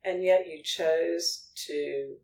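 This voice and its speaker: A woman's voice: on the low side, steady tone, drawn-out words, vocal fry, a little mellow. A woman hosting a conversational podcast interview, asking questions. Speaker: guest host, interviewer. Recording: roomy echo, far from microphone.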